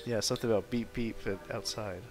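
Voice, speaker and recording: A man who is streaming, chatting casually in a loose, halting, slangy way. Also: on the low side, fairly even intonation. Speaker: game streamer. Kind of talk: casual chat. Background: faint music.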